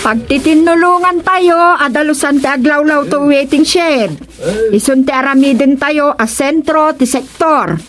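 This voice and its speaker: An actress as a curious village neighbor speaks in a high-pitched voice. She has an Ilocano accent and chats in the familiar style of radio comedy drama. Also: measured pace, over music. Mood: brisk, bantering.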